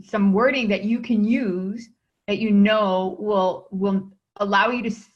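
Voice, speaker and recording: A woman's voice: mid-range voice, fairly even intonation, unhurried. A woman hosting an interview, enthusiastic and affirming. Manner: enthusiastic, affirming, many "mm-hmm"s. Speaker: speaking coach, host. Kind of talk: interview host chat. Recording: dull lo-fi sound.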